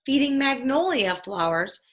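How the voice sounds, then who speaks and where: A woman narrates a children's storybook aloud. Her voice sits fairly high and moves very slowly, with deliberate, expressive phrasing. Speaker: storybook reader.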